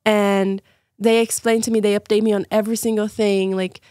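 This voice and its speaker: Young brazilian woman, portuguese accent, chatty guest, laughs a lot, mid pitch. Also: level tone, normal speed, mellow, dry recording, studio quality. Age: young adult. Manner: chatty, laughs often, many "like" fillers. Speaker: guest, former student. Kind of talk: casual podcast chat.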